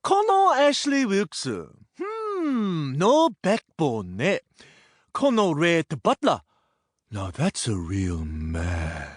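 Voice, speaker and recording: A man's voice: a little high, wildly swooping pitch, drawn-out words, no room sound, studio quality, pitched above the performer's own voice, heavily acted. A man voicing a feisty, stern Japanese immigrant mother who speaks emphatically and mixes languages in an audio drama. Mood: opinionated. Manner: emphatic, mixing Japanese phrases into English.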